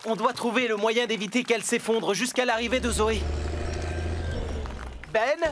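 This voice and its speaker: A man playing an upbeat, friendly builder foreman in a children's cartoon dub, giving clear, encouraging instructions. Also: very high voice, fairly even intonation, moderate pace, noisy background. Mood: concerned. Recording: music behind the dialogue.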